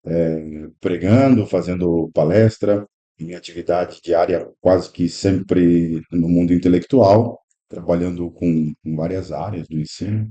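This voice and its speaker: Deep, dark-voiced man in his thirties. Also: fairly even intonation, a little slow, lo-fi sound. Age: 30s. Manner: reflective, explanatory, speaks in long run-on phrases with frequent "né?". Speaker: guest pastor and teacher. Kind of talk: podcast conversation, teaching. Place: improvised room at a pastors' convention.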